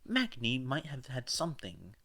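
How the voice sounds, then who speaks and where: Man putting on a high girlish young villainess. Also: wildly swooping pitch, moderate pace, pushed and bright, no room sound, full character voice. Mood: hesitant. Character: hesitant, girlish young woman villain, curious and chirpy.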